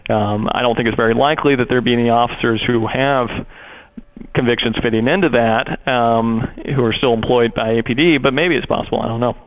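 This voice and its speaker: A man in the role of a prosecutor explains legal procedure over the phone. His delivery is flat and even, wordy and halting, at a middling pitch. The line is narrow and muffled. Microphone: telephone call.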